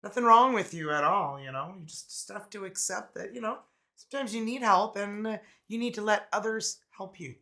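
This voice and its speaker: A man doing a casual, chatty tarot reading, laid-back and quick to laugh, his voice a little raspy from a cold. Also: high voice, bright, forceful tone. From Canada. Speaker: tarot reader.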